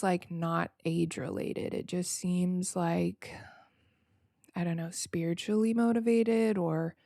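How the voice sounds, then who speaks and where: A woman hosting a podcast alone in a casual, freestyle way, slightly nasal, reflective and rambling, often halting and repeating words. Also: on the low side, vocal fry, darkish tone, no room sound, studio quality. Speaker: podcast host.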